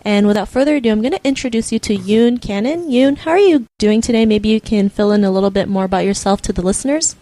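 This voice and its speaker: A woman's voice: medium pitch, fast talker, few pauses, dark tone. A woman hosting a podcast in an upbeat interviewing style. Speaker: podcast host.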